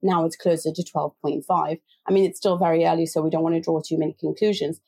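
A woman's voice: deep voice, little pitch movement, fast talker, vocal fry, dark tone, breathy edge. A woman co-hosting a football chat, talking casually and often adding "you know". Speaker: football podcast co-host.

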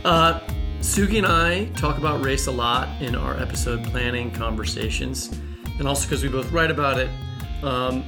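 An American man co-hosting a podcast, explaining things conversationally with halting false starts. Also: mid-range voice, over music. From Kansas City, United States. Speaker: podcast co-host and novelist.

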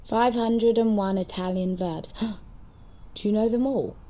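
A woman's voice: medium pitch, steady tone, takes it slowly, warm tone. An actress playing a guileless, quirky woman full of blunt curiosity and childlike wonder in an audio drama. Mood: curious wonder. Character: wide-eyed, quirky, guileless woman, curious about everything.

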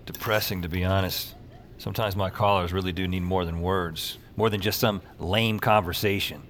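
A man's voice: low voice, steady tone, moderate pace, fairly bright tone, a little hiss or hum. An actor playing a weary, wry male emergency call-taker who narrates his troubles in a casual way in an audio drama. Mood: wry, downbeat. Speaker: lead character and narrator. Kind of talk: noir-like first-person audio drama narration and dialogue.

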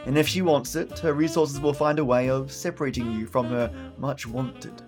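A man's voice: medium pitch, fairly even intonation, moderate pace. A man hosting a podcast, playful and effusive, mixing chatty asides with scripted storytelling. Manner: playful, effusive, mildly profane. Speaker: podcast host. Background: music, plucked guitar.

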